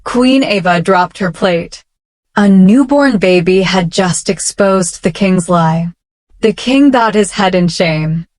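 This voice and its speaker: Slow-paced woman storyteller. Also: lowish voice, darkish tone, dry recording. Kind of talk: scripted children's bedtime story narration.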